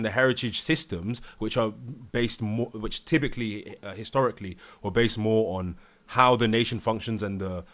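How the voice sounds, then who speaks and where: A man's voice: fairly low pitch, fairly bright tone. A man arguing in a heated live debate, insistent and repetitive, with many fillers and restarts. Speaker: debate participant.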